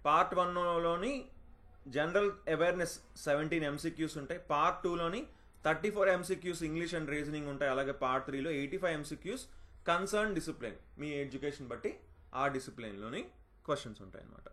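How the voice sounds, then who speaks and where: A man's voice: on the high side, fast, sharp tone. A man giving an informal, instructive explainer, mixing in English terms and often checking that listeners follow. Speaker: job notification presenter.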